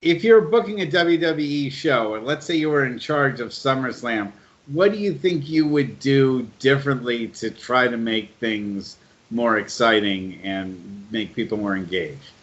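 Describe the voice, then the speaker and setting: A man's voice: mid-range voice, unhurried, a bit bright. A man hosting a casual interview, talking conversationally and asking wordy questions, on muffled lo-fi audio. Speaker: podcast host, interviewer.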